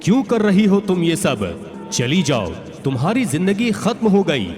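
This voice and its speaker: A man voicing a calm, fatherly village holy man in an animated moral story, advising in a measured way. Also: sits high. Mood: questioning.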